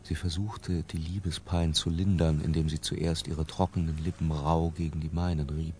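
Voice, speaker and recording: An actor playing an imprisoned man recalling an obsession in a hushed, lingering literary radio drama narration. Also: very deep voice, flat delivery, normal speed, slight background noise. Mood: hushed, sensual. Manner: hushed, lingering, sometimes falling to a whisper. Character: Humbert, imprisoned man confessing his obsessive boyhood love.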